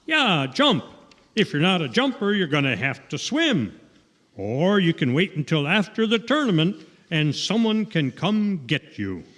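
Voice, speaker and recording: A man's voice: on the high side, big pitch swings, a little slow, fairly bright tone. A man acting an earnest, emphatic fatherly coach in an old-time radio sketch, on a reverberant theatre stage. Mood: earnest, encouraging.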